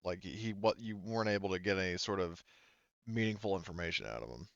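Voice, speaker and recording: A man acts as game master for a tabletop roleplaying session in a smooth, fairly low voice. His narration is casual and conversational. The recording is dry and a little dull. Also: quick pace, fairly bright tone, no room sound.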